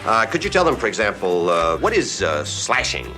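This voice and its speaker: A man in a played comedy clip asking halting questions full of fillers. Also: fairly low pitch, very animated, music in the background.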